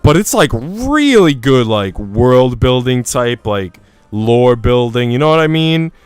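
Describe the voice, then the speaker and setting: A man's voice: medium pitch, sing-song, measured pace, clean tone, dry recording. A man streaming casually, chatting and reading in an informal, slangy way. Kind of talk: casual livestream chat and visual novel reading. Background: faint music.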